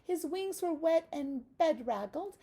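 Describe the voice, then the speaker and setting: Lady teacher doing storytime, super lively, bit of fry, asks the kids stuff. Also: high-pitched, a little slow. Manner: encouraging, addresses children with questions. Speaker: teacher reading aloud to young children. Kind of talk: expressive picture-book read-aloud with asides.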